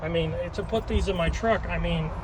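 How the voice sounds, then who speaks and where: Higher-voiced man rambling casually, noisy recording. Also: normal speed. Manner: rambling, plain-spoken, occasional swearing. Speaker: truck driver showing his gear. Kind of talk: casual product walkthrough with anecdotes.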